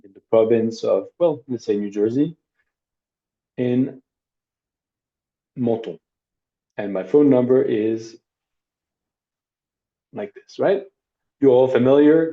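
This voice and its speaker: A middle-aged man teaching a workshop over a video call, demonstrating a service in a relaxed, conversational way with hesitations and asides. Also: mid-range voice. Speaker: workshop instructor. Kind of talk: live online training demo. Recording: dull lo-fi video call audio.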